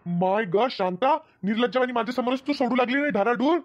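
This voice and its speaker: A woman's voice: on the high side, fast talker, dull audio, close to an everyday voice. A woman voicing an exasperated, nagging village husband in a comedy cartoon, with a rural Maharashtrian Marathi accent. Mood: scolding, appalled. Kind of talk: comic cartoon dialogue.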